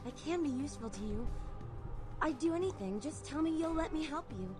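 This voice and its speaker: A woman's voice: high-pitched, expressive, brisk. An actress playing a devoted, needy young woman in a video game, pleading and hesitant, with music behind her.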